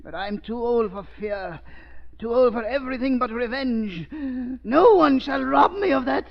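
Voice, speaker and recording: An actor playing a bitter, frail old scientist in a 1930s radio drama, stammering and panicky. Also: male voice, extremely high pitch, lively intonation, measured pace, gravelly, crowd noise, exaggerated voice. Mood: bitter defiance. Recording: dull old radio recording.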